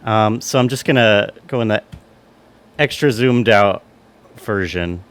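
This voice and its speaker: A man giving a casual, halting tutorial as a sound designer, thinking aloud and checking in with his listeners. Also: on the low side, smooth.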